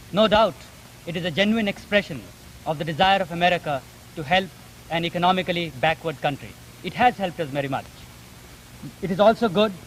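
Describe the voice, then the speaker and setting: A man's voice: high voice, a little hiss or hum, poor audio. A man with an Indian accent debating formally in an articulate, emphatic way on a 1950s archive recording.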